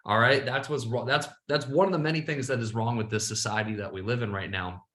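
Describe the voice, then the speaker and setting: A man's voice: mid-range voice, brisk, a bit bright. Emphatic male coach in an echoey room. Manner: emphatic, repeats "all right" often. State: a little sleepy. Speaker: business coach and podcast host. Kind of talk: casual motivational monologue.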